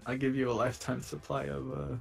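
A man chatting casually over a game in a flustered, halting way. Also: mid-range voice, little pitch movement. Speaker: gamer in group commentary. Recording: clear game music behind the voice. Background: game music.

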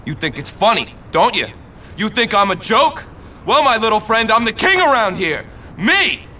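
A jealous young greaser boss in a game cutscene. The tough talk is melodramatic and paranoid, highish and swinging widely in pitch, over a faint rumble. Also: male voice, sing-song, normal speed, sharp tone, heavily acted. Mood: raging. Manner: agitated, melodramatic, tough-talking. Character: jealous, paranoid teenage greaser gang leader. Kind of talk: video game cutscene acting.

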